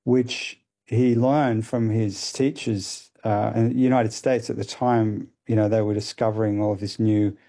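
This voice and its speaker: A man explaining ideas as a radio guest, thoughtful and hesitant, with many pauses and fillers. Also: lowish voice, level tone, dark tone. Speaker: guest expert.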